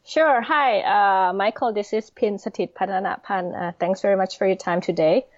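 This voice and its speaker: A woman in her thirties from Thailand with a Thai accent, answering interview questions hesitantly with many pauses and fillers. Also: mid-range voice, no echo. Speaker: podcast guest. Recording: dull lo-fi sound.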